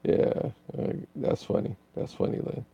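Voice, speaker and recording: A man's voice: measured pace, warm tone, highs cut off. A tired man, hosting a laid-back live stream and rambling through chat comments. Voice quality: raspy.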